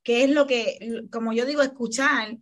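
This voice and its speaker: Woman, Puerto Rican accent, high voice, podcast host, reflective. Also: fairly even intonation, moderate pace. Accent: Puerto Rican Spanish. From Puerto Rico. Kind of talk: casual podcast chat.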